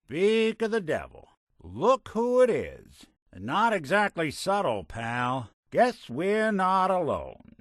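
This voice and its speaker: An actor playing a weary, wry robot detective with a conscience in a video game, speaking in a hardboiled 1940s American style with dry, streetwise delivery. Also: male voice, medium pitch, fairly bright tone, dry recording, heavily acted. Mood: alert.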